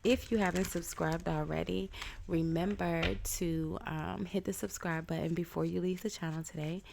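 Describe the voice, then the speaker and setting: Woman, tarot reader, congested from a cold, halting chatty delivery, faint hiss behind. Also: lowish voice, steady tone, creaky. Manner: slow, chatty, halting with many ums. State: stuffed up with a cold. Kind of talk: casual tarot reading to camera.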